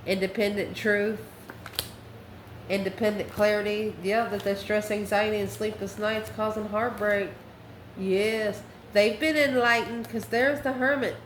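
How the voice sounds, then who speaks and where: A woman giving an improvised online tarot reading in a folksy, colloquial and sassy way. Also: medium pitch. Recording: roomy echo with faint background noise.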